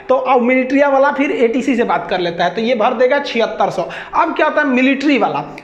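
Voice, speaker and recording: A man's voice: very high voice, a bit bright. A man with a Bihari accent giving an informal lecture as a teacher, colloquial, asking questions and answering them himself. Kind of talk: informal classroom lecture explaining with everyday examples. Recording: echoey room, dull muffled sound.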